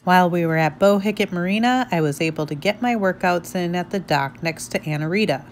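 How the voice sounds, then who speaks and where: A woman's voice: lowish voice, brisk, slight background noise. A woman reading an evenly paced voice-over recap. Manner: even, read-aloud recap. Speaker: vlog narrator. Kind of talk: scripted voice-over narration. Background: soft music.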